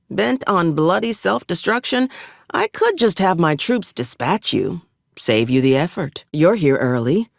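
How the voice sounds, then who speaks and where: A ruthless, wry military officer with a very lively, swooping delivery, recorded close and dry. She is an ambitious video game character who mixes stiff antique phrasing with folksy frontier slang. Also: low voice, vocal fry, dry recording, full character voice. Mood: brusque, commanding. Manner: formal archaic diction mixed with folksy frontier slang.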